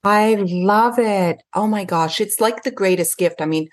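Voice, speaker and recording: A woman's voice: medium pitch, a little slow. A woman raised in Switzerland and England, an effusive podcast guest talking about her life. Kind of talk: podcast interview.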